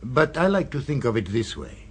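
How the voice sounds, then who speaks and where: An actor playing a suave, sinister restaurant owner in a radio drama, with a smooth, purring and insinuating delivery. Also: male voice, medium pitch, very animated, moderate pace. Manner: silky and insinuating, tags questions with "eh". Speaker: restaurant proprietor.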